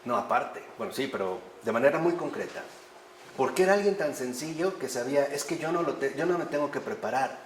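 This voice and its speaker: A man teaching a lively lecture on faith, often posing rhetorical questions to his listeners. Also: mid-range voice, a little fast, a little hiss or hum. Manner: animated, rhetorical questions to the audience. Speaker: priest teaching a class. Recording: echoey room with faint ambience.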